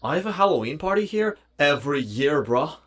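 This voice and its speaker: A man's voice: a little high, very animated, a little fast, fairly bright tone. An actor playing a laid-back, oddball slacker guy in a horror game, with slangy, goofy, offhand talk. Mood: goofy, casual.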